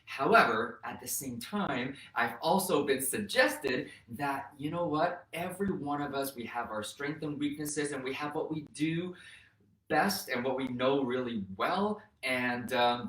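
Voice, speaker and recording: A man in his thirties, a native Mandarin speaker, talks straight to his viewers in an earnest, encouraging way. His voice sits at an ordinary middle pitch and sounds a little distant from the mic. The room around him gives a clear, roomy echo. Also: fairly bright tone. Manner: earnest, encouraging, addresses viewers as "you guys". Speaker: host, real estate educator. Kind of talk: motivational vlog talk to camera.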